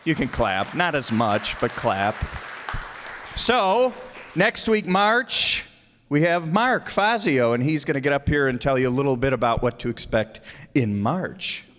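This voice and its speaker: A man's voice: medium pitch, expressive, measured pace, fairly bright tone, a faint crowd. A man giving informal, off-the-cuff closing thanks to an audience from a stage, in a joking way. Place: live stage in a hall. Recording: echoey hall with audience noise.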